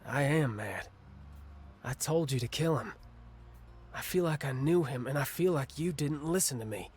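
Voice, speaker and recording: A man acting an angry, ashamed younger brother in a video game cutscene, with a halting, bitter, confessional delivery. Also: medium pitch, darkish tone, husky, natural voice. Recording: music under the voice.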